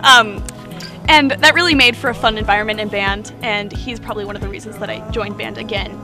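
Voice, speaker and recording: A woman's voice: mid-range voice, fairly even intonation, a little fast, music in the background. A teenage student recalling her former band teacher in a chatty, giggly interview. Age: teens. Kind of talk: casual interview.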